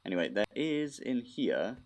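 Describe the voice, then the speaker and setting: A man's voice: medium pitch, sing-song, normal speed, noisy background. A man casually commentating his modded block-building game, thinking aloud with mild exasperation, his nose slightly blocked. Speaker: modded Minecraft let's play host.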